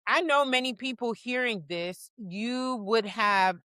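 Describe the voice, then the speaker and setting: A woman's voice: on the high side, takes it slowly, bright, forceful tone, clean tone, no echo. A woman counselor in a faith-based podcast conversation who speaks earnestly and addresses listeners directly.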